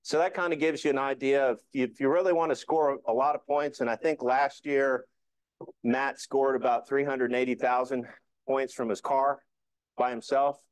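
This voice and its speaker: A man's voice: mid-range voice, fairly even intonation, normal speed, smooth, dry recording. Middle aged guy explaining stuff at a club meeting, casual, pretty dry sound. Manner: conversational, explanatory. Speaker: club presenter. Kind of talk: informal club presentation. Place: indoor meeting room.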